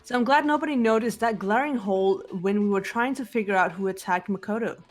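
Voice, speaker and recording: A woman's voice: medium pitch, brisk, soft background music, close to an everyday voice. A woman playing a cool, confident, analytical teenage girl detective in an amateur video game dub, assured and precise.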